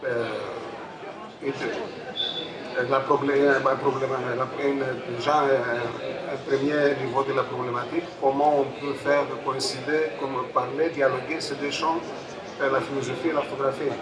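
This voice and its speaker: A man whose first language is Greek addresses an audience with a Greek accent. He speaks haltingly at a middle pitch, circling back over phrases. The sound is echoey and distant, with a busy fair crowd behind him. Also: flat delivery. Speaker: photographer and panel speaker. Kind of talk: public talk at a book fair, introducing a debate. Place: busy book fair stand.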